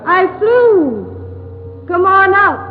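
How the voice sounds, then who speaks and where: A woman's voice: very high voice, very animated, drawn-out words, phone-quality sound, full character voice. A woman playing a dreamy young woman who calls from a cloud, in 1950s radio drama style. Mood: coaxing. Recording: scratchy old radio recording with music and reverb.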